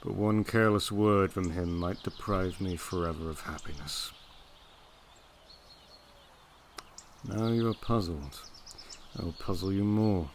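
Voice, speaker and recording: An actor playing a brooding, commanding master of a gothic manor in a period audio drama, intense and imperious in manner. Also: male voice, low voice, moderate pace, slight background noise. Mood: grim, guarded.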